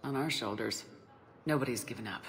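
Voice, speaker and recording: A woman's voice: very deep voice, fairly quick. A resolute female military commander from a video game. Her delivery is firm and earnest with compassion underneath, and the voice rings in a long reverb. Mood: resolute, encouraging. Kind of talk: video game cutscene dialogue.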